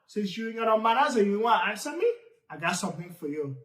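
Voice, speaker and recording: A storyteller voicing a cocky, lazy male trickster with a Caribbean English accent, in a high squeaky voice. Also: very animated, quick pace, fairly bright tone. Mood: indignant. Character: boastful lazy male trickster, Bro Nancy. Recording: echoey room, distant mic.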